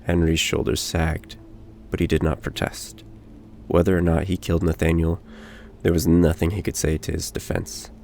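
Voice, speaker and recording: A man narrating a scripted bedtime story in a dramatic, deliberate manner. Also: low-pitched, little pitch movement, creaky, darkish tone, a little hiss or hum. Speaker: storyteller in a bedtime roleplay audio.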